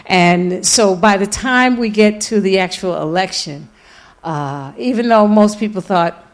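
A woman giving a public lecture as an author, telling the story in an emphatic, deliberate way with repeated phrases. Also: medium pitch. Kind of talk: lecture with audience questions. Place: public lecture event, live before an audience.

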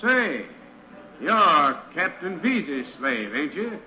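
A sharp, bright-voiced carnival barker. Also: male voice, a little high, very animated, slow, echoey room, full character voice. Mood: grudging, sly. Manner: showman's sing-song patter, grudging. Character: brash male carnival barker running a wheel of chance. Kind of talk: 1940s radio drama acting.

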